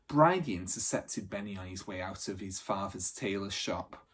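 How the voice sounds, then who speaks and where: Man reading a children's story, slightly husky. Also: low-pitched, expressive, moderate pace, a bit bright. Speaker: teacher reading a children's book aloud. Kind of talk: animated storybook narration.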